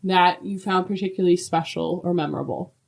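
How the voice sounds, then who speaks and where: Woman, podcast co-host, mid-pitched voice. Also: steady tone, brisk, creaky, darkish tone. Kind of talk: friendly podcast chat and expressive poem reading.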